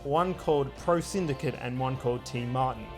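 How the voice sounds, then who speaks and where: A man's voice: medium pitch, normal speed, music in the background. A man co-hosting a video game show, explaining things in a casual, conversational style.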